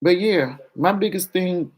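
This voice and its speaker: A woman with a fairly deep voice that carries well talks to her viewers. She is frank and confessional in a loose, casual vlog style, and she calls her audience "y'all". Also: measured pace, darkish tone, breathy edge. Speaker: vlogger. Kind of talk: candid casual talk to viewers.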